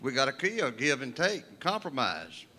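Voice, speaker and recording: A man at a workshop speaking forcefully and a bit preachily. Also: medium pitch, sing-song, moderate pace. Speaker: workshop participant. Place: conference room. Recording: distant from the mic in a reverberant hall.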